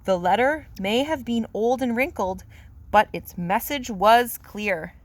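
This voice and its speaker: A woman's voice: a little high, lively intonation, measured pace. A woman voicing a stern, exasperated father bear from a picture book, with sarcastic, scolding delivery.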